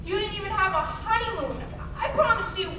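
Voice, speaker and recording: A woman's voice: extremely high pitch, a little fast, background noise. A young woman playing an earnest small-town husband in an old-fashioned radio play, with a folksy, emphatic delivery. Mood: tender, regretful. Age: young adult. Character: earnest small-town husband and loan man, hot-tempered under strain. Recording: echoey live stage, distant mic, music behind.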